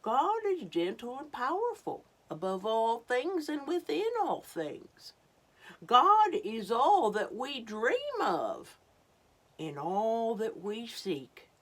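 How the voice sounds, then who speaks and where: Old Turtle, slow solemn wise elder voiced by a woman. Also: fairly high pitch, wildly swooping pitch, fairly bright tone, voice pushed up, full character voice. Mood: solemn, fervent. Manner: solemn, deliberate, emphatic. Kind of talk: storybook read-aloud for children.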